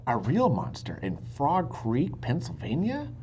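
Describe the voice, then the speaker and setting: A woman's voice: low voice, huge pitch swings, a little slow, dark tone, exaggerated voice. A woman voicing a cautious, skeptical eight-year-old boy in a children's storybook, reluctant and exasperated. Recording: muffled sound with faint noise.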